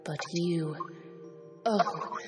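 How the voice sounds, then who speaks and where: A woman's voice: fairly low pitch, extremely slow. A woman voicing a sick, scheming mother pony who coaxes and commands in a wheedling way. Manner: wheedling, coaxing then commanding. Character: sick scheming mother mare, sly and menacing. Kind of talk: fan audio drama reading. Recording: reverberant audio drama with music. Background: music.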